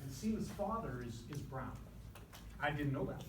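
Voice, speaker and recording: A man's voice: a little high, expressive, moderate pace. Rambling joking male instructor in echoey classroom. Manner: rambling, jokey storytelling with many fillers. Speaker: accounting instructor. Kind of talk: lecture with joking asides. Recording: echoey classroom from a distance with background noise.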